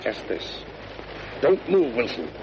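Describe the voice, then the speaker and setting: An actor playing a menacing, murderous villain in an old radio drama, deliberate and gloating. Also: male voice, mid-range voice, big pitch swings. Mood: cold menace. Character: sneering, murderous male villain with a gun. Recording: noisy, muffled vintage radio recording.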